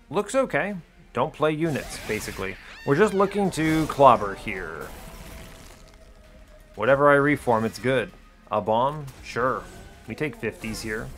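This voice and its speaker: A man's voice: lowish voice, fairly bright tone. Man thinking aloud over music, wry and casual. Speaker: video game streamer explaining his play.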